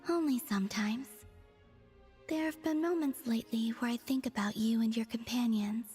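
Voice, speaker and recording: A woman voicing a gentle, wistful otherworldly young moon maiden for a video game, speaking softly and breathily in measured, understated phrases. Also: on the high side, big-room sound, soft background music, close to an everyday voice. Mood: quiet, wistful.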